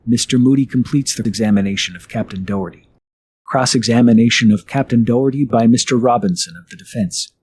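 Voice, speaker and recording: A man with a mid-pitched, very husky voice reads scripted narration. He announces the proceedings of a trial in a formal way, as narrator. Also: a little fast, darkish tone.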